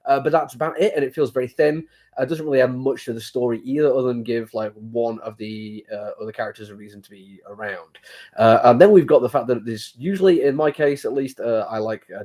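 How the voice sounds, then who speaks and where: A man's voice: mid-range voice, darkish tone. A man with a cold and a sore throat, casually reviewing a comic book. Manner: rambling, hesitant with many fillers. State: has a cold, sore throat, blocked sinuses.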